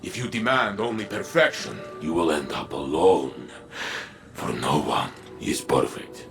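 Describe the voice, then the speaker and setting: A man's voice: very deep voice, a little slow, vocal fry, a bit bright, faint music behind, full character voice. A man playing a noble, philosophical robot warrior in a video game, speaking in a grand, formal, self-assured way. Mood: reflective. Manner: grand, declamatory, formal phrasing without contractions. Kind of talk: scripted game dialogue.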